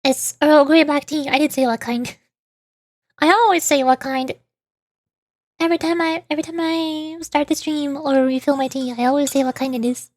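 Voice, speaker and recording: A woman's voice: high voice, steady tone, moderate pace. A woman livestreaming a game, chatting casually with viewers and tripping over hard words as she reads aloud. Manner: chatty, stumbles over hard names, reading chat aloud. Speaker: VTuber game streamer. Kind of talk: casual livestream chat while gaming. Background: music.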